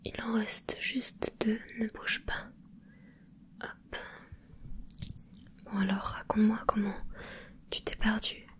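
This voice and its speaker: A woman voicing a gentle, caring mermaid in an ASMR roleplay, whispering softly and reassuringly with a curious, playful touch. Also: medium pitch, level tone, lightly acted. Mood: soothing whisper. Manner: soft whispering, slow and soothing. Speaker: ASMR creator.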